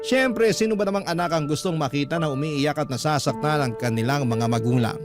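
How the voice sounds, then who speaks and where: Male radio storyteller, slightly high voice, dramatic first-person letter reading over prominent background music. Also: fairly quick.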